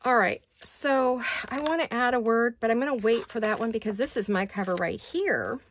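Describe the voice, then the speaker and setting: An older woman with a raspy voice chatting casually in her home craft studio, rambling and thinking aloud as she works. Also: fairly high pitch, moderate pace, a little hiss or hum. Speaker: craft vlogger. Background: neighborhood garbage-day banging.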